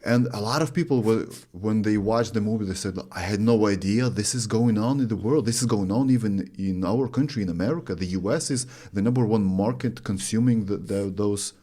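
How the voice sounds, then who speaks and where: Host, thirties, from Lithuania, Russian native; slightly low-voiced, earnest, halting phrasing, studio boom mic. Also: male voice, dark tone. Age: 30s. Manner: earnest, searching for words, many restarts. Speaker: podcast host. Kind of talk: interview. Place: studio with green curtain. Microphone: studio mic on boom arm.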